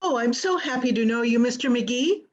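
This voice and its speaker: A woman's voice: on the high side, animated, normal speed. An actress playing an eager, effusive garden club lady in an old-time radio comedy, polite and gushing, on slightly muffled audio.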